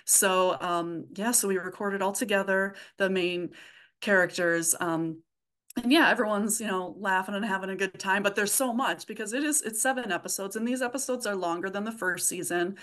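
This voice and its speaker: A woman's voice: medium pitch, normal speed, a bit bright. A woman chatting informally and casually as a guest being interviewed. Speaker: podcast creator, interview guest.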